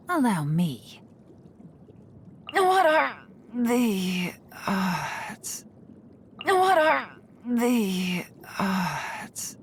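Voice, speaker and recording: An actress playing a cool, sly young woman intelligence agent in a video game, composed and teasing in a knowing, unhurried way. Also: a little high, a little hiss or hum, heavily acted. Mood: sly, confident, then weakened, defeated. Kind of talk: video game character voice lines. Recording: studio voice over background music. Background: music.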